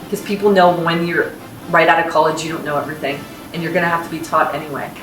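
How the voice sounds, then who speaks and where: A woman's voice: deep voice, steady tone, quick pace, over music. A woman hosting a casual podcast, giving upbeat, encouraging advice to students. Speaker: host and company founder. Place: house in North Las Vegas, room with echo. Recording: roomy echo, distant mic, faint hiss.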